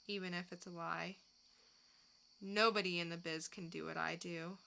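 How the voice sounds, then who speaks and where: A woman's voice: medium pitch, pushed and bright. A woman acting a cool, weary, sardonic female mercenary in a comic book dramatic reading, terse and wry.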